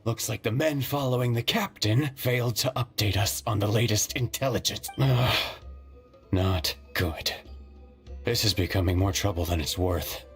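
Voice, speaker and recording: A man's voice: lowish voice, moderate pace, soft background music, natural voice. An actor voicing a sly male debt collector who serves a crime boss, delivered in a mocking, businesslike way. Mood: wary. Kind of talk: video game cutscene voice acting.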